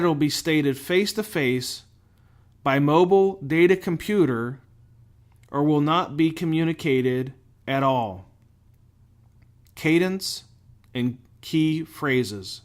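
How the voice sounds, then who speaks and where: A man delivering scripted instructional narration as a show host, speaking deliberately with clear enunciation and emphatic phrasing. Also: a little high. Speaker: host of a firefighter safety show.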